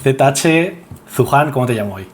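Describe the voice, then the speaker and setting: A man's voice: medium pitch, normal speed. A man with an accent from Spain, interviewing a guest in casual, slangy, bantering podcast talk. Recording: clear steady background noise.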